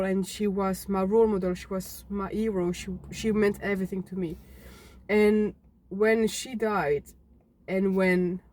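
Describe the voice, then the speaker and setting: A young woman from Belgium, telling her own story unscripted to camera in a halting, earnest way, often restarting words. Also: mid-range voice, a little mellow. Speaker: fitness vlogger.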